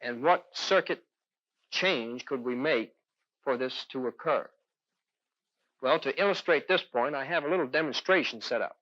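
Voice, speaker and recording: A man's voice: medium pitch, moderate pace, long pauses, fairly bright tone, no room sound. A man lecturing as an electronics instructor in a 1970s instructional film, explaining methodically step by step. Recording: dull, dry 1970s film soundtrack.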